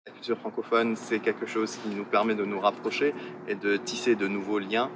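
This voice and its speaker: Low-voiced man from Madagascar. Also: level tone, brisk. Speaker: community association worker. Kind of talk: interview answers. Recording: outdoor street noise.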